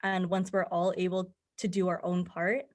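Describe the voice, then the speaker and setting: Young woman with an even, lowish voice. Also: normal speed. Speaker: podcast guest, mental health advocate. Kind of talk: conversational interview answers, giving advice.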